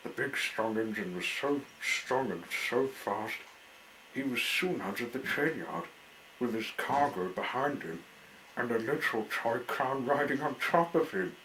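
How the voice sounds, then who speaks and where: Male children's storyteller, unhurried deliberate phrasing, crisp bright tone, faint noise in the background. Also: medium pitch, expressive, rough recording. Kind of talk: reading a children's story aloud.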